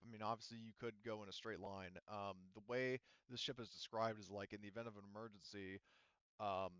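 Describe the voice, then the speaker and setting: A man's voice: lowish voice, fairly bright tone, clear, resonant tone, no room sound, highs cut off. A man running a tabletop roleplaying game as game master, explaining things casually in a rambling, improvised way.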